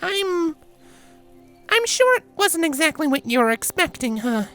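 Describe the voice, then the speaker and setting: A man voicing a young female alicorn pony in a story reading, gentle, hesitant and a little embarrassed. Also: extremely high pitch, very animated, unhurried, faint music behind, pitched above the performer's own voice, heavily acted. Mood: gentle, coaxing.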